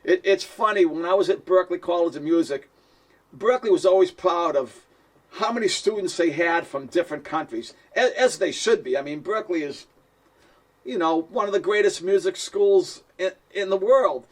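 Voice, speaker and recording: A man's voice: high voice, normal speed, recorded from a distance. A man with a strong Boston accent, talking casually to camera as a musician and producer, chatty and self-deprecating with frequent chuckles. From Boston, USA. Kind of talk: casual direct-to-camera talk.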